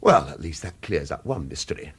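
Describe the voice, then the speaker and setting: An actor in a radio drama playing a wry, suspicious retired killer who needles with clipped, repeated questions. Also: male voice, a bass voice, very animated, fairly quick, husky, full character voice. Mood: sardonic.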